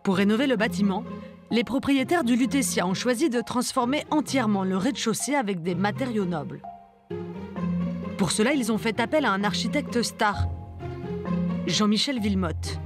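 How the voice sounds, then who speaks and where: A woman reading a documentary voice-over in a measured, even way. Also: mid-range voice. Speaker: documentary narrator. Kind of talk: scripted voice-over narration. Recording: studio voice-over with music underneath.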